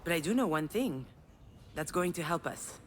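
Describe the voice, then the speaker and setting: An actress playing a self-deprecating, conciliatory sister in earnest, conversational game dialogue. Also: female voice, fairly low pitch, lively intonation, normal speed, a little hiss or hum, close to an everyday voice.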